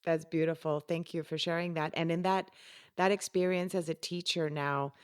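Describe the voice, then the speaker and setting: A woman's voice: low-pitched, steady tone, normal speed, clear, resonant tone, no echo, clean studio sound. Female podcast host with a non-native English accent. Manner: encouraging, affirming listener. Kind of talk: casual conversational interviewing.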